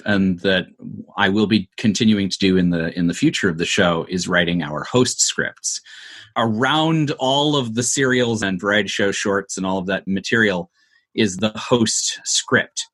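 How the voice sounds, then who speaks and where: A man speaking as an interview guest, explaining creative work in articulate, measured phrases with considered pauses. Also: low-pitched, fairly bright tone. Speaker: interview guest, comedy show writer.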